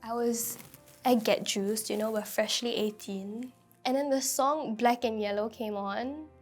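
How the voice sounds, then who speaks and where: A young Singaporean woman with a fairly high voice chats about her own experiences. She sprinkles "like" through casual sentences, over faint music. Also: steady tone, unhurried. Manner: peppers talk with "like". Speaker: interviewee. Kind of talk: casual personal storytelling.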